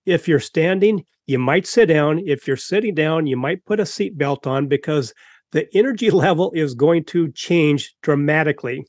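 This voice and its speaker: A man's voice: a little high, normal speed, a little mellow, dry recording, studio quality. A man in his fifties hosting a podcast over a video call from home, earnest and rambling, with frequent restarts. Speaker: podcast host. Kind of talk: enthusiastic podcast introduction.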